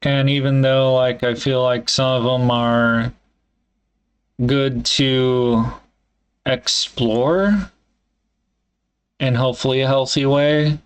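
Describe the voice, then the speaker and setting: Slow, halting man thinking aloud. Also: mid-range voice, steady tone, clean tone. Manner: halting, hesitant, swears casually. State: congested. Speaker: vlogger. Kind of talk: candid solo vlog, thinking aloud.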